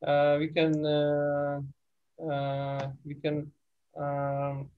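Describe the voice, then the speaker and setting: Halting man tutoring over a video call. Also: fairly high pitch, monotone, phone-quality sound. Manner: halting, many fillers and restarts. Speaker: workshop tutor. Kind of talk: online class instruction.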